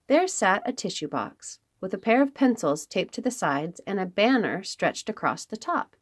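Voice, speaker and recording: A woman reading aloud to young children as a storytime host, expressive, with dramatic emphasis and pauses. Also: medium pitch.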